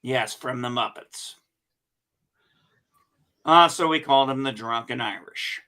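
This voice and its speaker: A man chatting casually as a podcast co-host, rambling slowly through stories with many restarts. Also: mid-range voice, sharp tone. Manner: slow, rambling storyteller with restarts. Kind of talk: casual chat.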